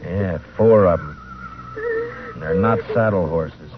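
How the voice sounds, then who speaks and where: A man acting a steady, terse, authoritative frontier marshal in a 1950s-style radio western. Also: low-pitched, takes it slowly, crowd noise. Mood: grave, alert. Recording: noisy, tinny old radio recording.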